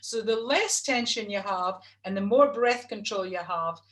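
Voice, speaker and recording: A woman's voice: mid-range voice, normal speed, a bit bright. A middle-aged woman coaching singers over a video call, explaining technique in a chatty, instructive way. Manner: chatty and instructive, demonstrates hums. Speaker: vocal coach. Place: home room, video call. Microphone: video call webcam.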